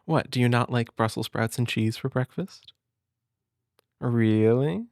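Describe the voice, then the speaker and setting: Soothing male big-brother type for bedtime-style reading: unhurried, close and dry, tender, slightly hesitant. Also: medium pitch, a little mellow, no echo. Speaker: audio roleplay performer as a caring big brother. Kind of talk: intimate comfort roleplay and storybook reading.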